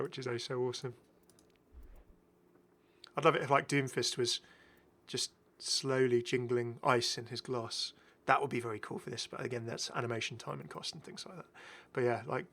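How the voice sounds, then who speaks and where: A man's voice: medium pitch, steady tone, normal speed, sharp tone. A British man giving casual, enthusiastic, rambling commentary as the host of a gaming video. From Britain. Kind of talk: casual commentary over game footage.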